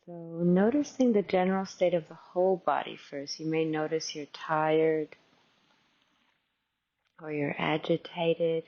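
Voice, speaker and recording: A woman teacher leading a guided meditation in a gentle, unhurried, conversational and softly instructive way. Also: low-pitched, steady tone, muffled recording.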